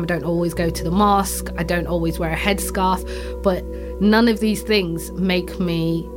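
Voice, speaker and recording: A young woman with a Yorkshire accent, telling her personal story. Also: fairly low pitch. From Yorkshire, England.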